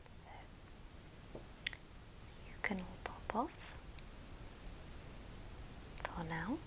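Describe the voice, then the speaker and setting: A woman hosting an ASMR show and tell in a soft, breathy whisper, speaking gently in short phrases. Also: lowish voice, big pitch swings, extremely slow. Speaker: ASMR video host.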